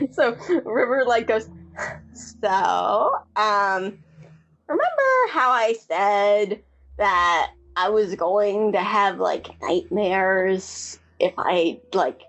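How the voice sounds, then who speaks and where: A woman's voice: mid-range voice, animated, very slow, a bit bright. A woman playing a tabletop role-playing game, talking casually as she describes and speaks for her character. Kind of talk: casual chat, halting in-character talk.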